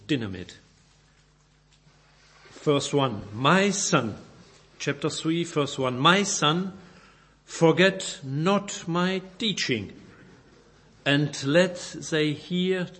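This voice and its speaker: A man's voice: on the high side, a bit bright. Belgian man preaching in careful English. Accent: Belgian German. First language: German. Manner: halting non-native English, repeats and self-corrects words. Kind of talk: sermon with scripture reading.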